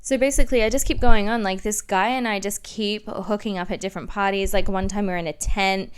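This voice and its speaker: A young woman telling personal stories casually as a podcast host, in a slangy, self-mocking, animated way. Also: medium pitch, a little fast, clean tone. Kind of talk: casual confessional storytelling.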